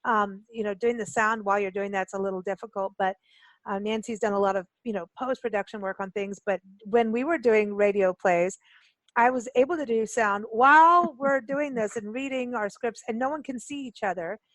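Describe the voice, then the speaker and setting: A woman's voice: mid-range voice, moderate pace, fairly bright tone, no room sound, poor audio. A woman hosting a casual radio interview, chatting informally with loose, rambling questions. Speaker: radio show host.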